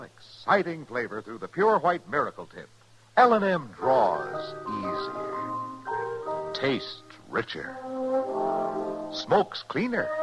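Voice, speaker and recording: A man's voice: a little high, poor audio. An American man announcing a vintage radio commercial in an emphatic, upbeat sales delivery. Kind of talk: 1950s radio cigarette ad. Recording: old radio ad with music.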